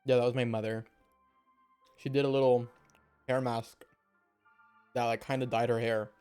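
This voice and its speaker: Casual male streamer, medium pitch, chatty and playful reaction commentary over faint game music. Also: flat delivery, moderate pace, a little mellow, clean tone.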